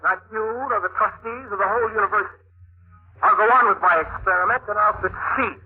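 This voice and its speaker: A man's voice: sits high, quick pace, a little hiss or hum, lightly acted. Driven young male scientist, played by a man, intense and melodramatic, vintage radio play, narrow tinny audio. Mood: defiant, agitated. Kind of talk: old-time radio drama acting.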